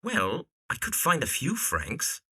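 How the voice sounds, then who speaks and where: Young gentleman for audio drama, male actor: irritable, bright and forceful, big swoops in pitch. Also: wildly swooping pitch, slow pace, slightly rough, exaggerated voice. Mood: exasperated. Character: irritated young gentleman architect searching for his love.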